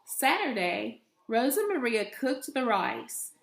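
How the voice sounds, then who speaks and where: A woman's voice: fairly high pitch, big pitch swings, takes it slowly, gravelly. A woman reading a children's story aloud expressively, like a teacher reading to a class. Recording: echoey, roomy sound.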